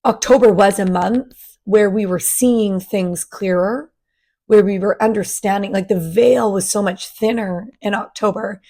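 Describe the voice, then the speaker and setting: A woman, raspy after a sinus virus, giving a casual spiritual energy forecast to her channel in a gentle, chatty way. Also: medium pitch, steady tone, unhurried. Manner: gentle, chatty, with many fillers and restarts. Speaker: spiritual coach and channel host.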